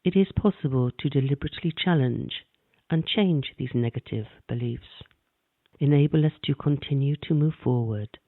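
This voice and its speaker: A man gently narrating a guided meditation in a calm, measured way. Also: mid-range voice, no room sound. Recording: dry, tinny phone-like sound.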